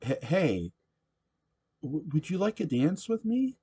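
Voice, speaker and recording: A man's voice: a little high, very animated, takes it slowly, dark tone, breathy edge, voice pushed up, heavily acted. Man doing a flustered stammering young woman. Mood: pleading. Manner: stammering, pleading.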